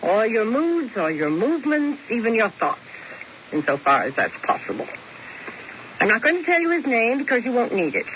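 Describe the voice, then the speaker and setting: A dull, hissy old radio recording carries a cool, calculating woman. Her voice is high and measured, and she speaks with commanding, theatrical deliberation. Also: wildly swooping pitch. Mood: coolly authoritative. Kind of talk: 1970s radio drama acting.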